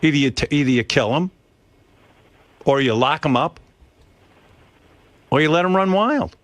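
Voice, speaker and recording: A man's voice: on the high side, no echo. A man hosting a radio talk show, ranting emphatically with plenty of profanity. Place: radio studio. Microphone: studio microphone.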